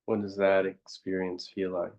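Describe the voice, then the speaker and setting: A man leading a guided meditation as a teacher, speaking reflectively in short, unhurried phrases and posing gentle questions. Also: low-pitched, monotone, dull audio.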